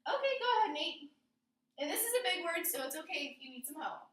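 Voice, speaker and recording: A woman teacher with a very high voice explains things to a class and often checks in with questions. She is recorded in an echoey room, some way from the microphone. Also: big pitch swings, fast talker, fairly bright tone. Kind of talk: classroom lesson on figurative language. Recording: roomy echo, distant microphone.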